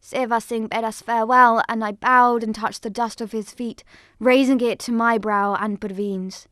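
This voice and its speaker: A woman narrator giving a scripted first-person dramatic account in a solemn, measured storytelling manner. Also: a little high, fairly even intonation, fairly bright tone, no room sound, clean studio sound.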